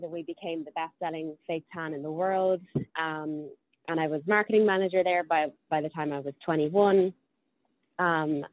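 A young woman with a Dublin Irish accent talks casually about her career, pausing often with ums and restarting her sentences. Her voice sits low, and it comes through a tinny, phone-like call. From Ireland. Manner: hesitant, many ums and restarts. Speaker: podcast guest. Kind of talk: casual interview chat.

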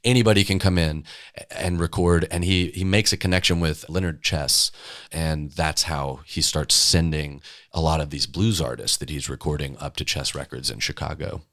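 A man's voice: very deep voice, fairly even intonation, normal speed, a bit bright. A man speaking conversationally and earnestly, reflecting and telling stories in a discussion. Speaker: studio staffer and guide.

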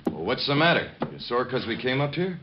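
A man's voice: medium pitch, very animated, brisk, natural voice. An American actor playing a tough, obedient gangster gunman in old radio drama, blunt and menacing. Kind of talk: 1940s-50s radio drama acting. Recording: muffled, very noisy old radio recording.

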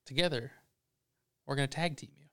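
A man's voice: medium pitch, a little fast, bright, forceful tone. A man co-hosting a casual podcast chat, joking and boastful, swearing freely. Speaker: podcast co-host. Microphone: headphones on, podcast mic.

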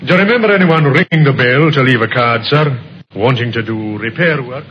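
An attentive police sergeant in a vintage radio mystery, played by a man. He speaks at a middling pitch, respectful and inquiring. The sound is dull, with a faint bed of noise. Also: a little fast, natural voice. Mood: polite questioning. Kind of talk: old-time radio crime drama acting. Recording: muffled old radio recording, faint noise.

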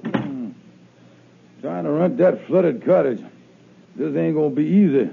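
Wisecracking schemer of a husband, folksy 1950s radio comedy, creaky and raspy, on a thin vintage broadcast recording. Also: male voice, fairly high pitch, measured pace, heavily acted. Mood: musing, sly. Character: scheming, smooth-talking husband, a fast-talking schemer. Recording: tinny old radio recording.

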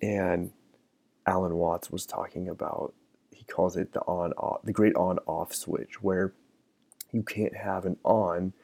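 A man with a deep, gravelly voice muses aloud on his podcast, pausing for fillers and restarting his thoughts. The tone is dark and mellow, and the sound is dry and close. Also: little pitch movement, dry recording. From American Midwest. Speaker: podcast host. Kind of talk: reflective casual monologue.

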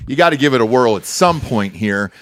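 A man's voice: mid-range voice, lively intonation, brisk, fairly bright tone, no room sound. A man hosting a podcast in casual, blunt, profane chat, asking plenty of questions. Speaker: podcast host.

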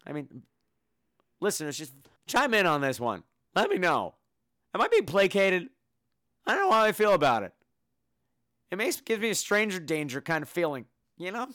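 A man's voice: sits high, big pitch swings, normal speed, pauses often, sharp tone, no echo, studio quality. A man hosting a podcast in casual, campy comedic chat, full of sassy, mock-indignant banter. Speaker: podcast host.

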